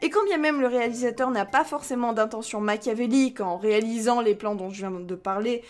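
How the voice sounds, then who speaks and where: Higher-voiced woman giving dryly sarcastic commentary. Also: brisk. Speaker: debate show panelist. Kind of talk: scripted explanatory commentary. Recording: music behind the voice.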